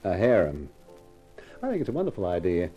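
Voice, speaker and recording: An actor playing a wry, tough private eye in a 1950s radio crime drama. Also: male voice, deep voice, wildly swooping pitch, a little fast, lo-fi sound, faint music behind. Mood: teasing. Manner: wry, wisecracking. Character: wisecracking tough private eye, brave and flirtatious. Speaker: lead actor and narrator.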